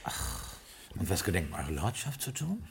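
Formal butler, late forties, dry irony, 1950s radio drama, lively low voice. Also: male voice, unhurried, creaky, breathy edge, exaggerated voice. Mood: deferential dry. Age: 40s. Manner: formal, dryly ironic, deferential.

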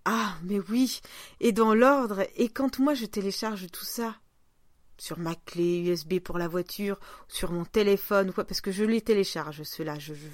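A woman's voice: mid-range voice, measured pace, dry recording, studio quality. A woman hosting a podcast in casual chat, talking in an informal, rambling, self-deprecating way. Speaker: podcast host.

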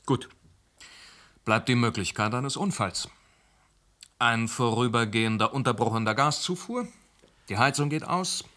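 An actor playing a methodical police inspector who calmly and patiently questions witnesses in a radio drama. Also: male voice, mid-range voice, unhurried, pauses often, lightly acted.

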